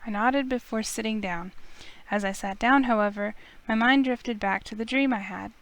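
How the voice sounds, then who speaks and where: A woman with a smooth, clear voice, reading a visual novel aloud as expressive first-person story narration. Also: fairly high pitch, normal speed.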